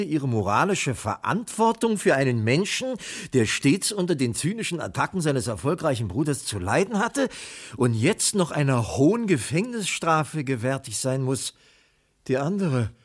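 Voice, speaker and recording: A man's voice: a little high, husky. An actor playing a wordy, theatrical male private detective in a 1980s East German radio crime drama, with formal phrasing.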